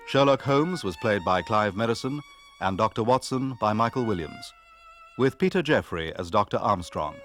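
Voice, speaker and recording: Male announcer, RP, scripted radio credits, very varied pitch, soft music behind. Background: violin music.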